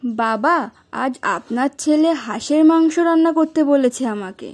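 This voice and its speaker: A woman's voice: high voice, normal speed, darkish tone, lightly acted. A woman playing a dutiful young village housewife and daughter-in-law in a story cartoon, talking in a homely way. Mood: respectful, obliging. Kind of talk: Bengali moral-story cartoon dubbing.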